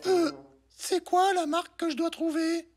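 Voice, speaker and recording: A woman's voice: very high voice, fairly even intonation, measured pace, loud music behind the voice. A woman voicing a naive, dim and blithely stubborn little schoolgirl in a French cartoon, guileless and matter-of-fact. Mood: puzzled.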